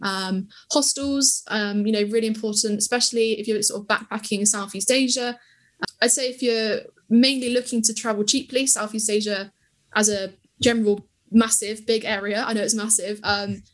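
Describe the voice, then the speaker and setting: A woman's voice: medium pitch, steady tone, normal speed. A woman in her twenties, a podcast guest and travel creator, telling travel stories with enthusiasm and plenty of fillers. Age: 20s. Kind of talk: casual interview chat.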